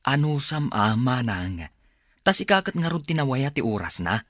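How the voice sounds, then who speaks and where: Casting: man plays defensive Ilocano younger brother for radio drama, mid-pitched, swooping intonation, full of excuses. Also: very animated, normal speed, a bit bright, dry recording, studio quality. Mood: apologetic, evasive. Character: working younger brother dodging family duty, defensive.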